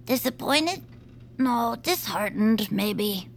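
An actress voicing a young bird who is a devoted fan, speaking in a hesitant, wistful way. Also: female voice, on the high side, lively intonation, slow, slight background noise. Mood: gentle. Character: young bird fan, let down by her hero. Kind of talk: audio drama acting.

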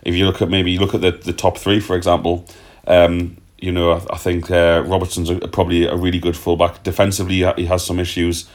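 A man's voice: deep voice, completely flat delivery, fast, hardly any pauses. A man hosting a casual football podcast, reading out listener questions and answering them in a chatty, loose way with the odd swear. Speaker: podcast host.

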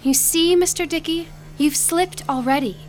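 A woman playing a pious, self-possessed high school girl in an audio drama, earnest and composed. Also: normal speed, soft background music. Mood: righteous.

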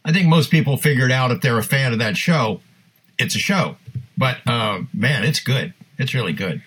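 A man's voice: mid-range voice, expressive, normal speed, a bit bright, slightly rough. A man co-hosting a podcast in an easygoing, conversational, casual style. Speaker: podcast co-host. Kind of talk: casual chat.

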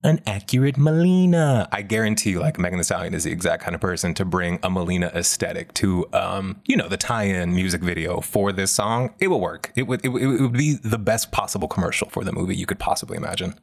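Deep-voiced American man, podcast co-host. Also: quick pace, vocal fry, few pauses. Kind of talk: conversational pop culture podcast talk.

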